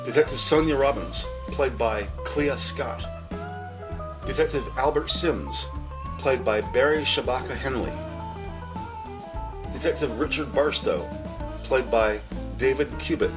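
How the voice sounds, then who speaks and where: A man reading scripted descriptive narration in a measured, announcer-like way. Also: medium pitch. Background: background music.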